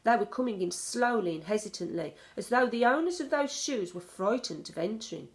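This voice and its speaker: A woman reading a children's story aloud in an expressive narrating style. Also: on the high side, brisk. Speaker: storybook reader.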